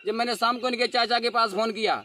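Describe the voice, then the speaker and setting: A man's voice: very high-pitched, fairly even intonation, quick pace, bright, forceful tone. A young man, talking insistently and aggrieved as he defends himself to listeners. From Uttar Pradesh, India. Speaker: dholak player defending himself. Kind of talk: emotional self-recorded statement.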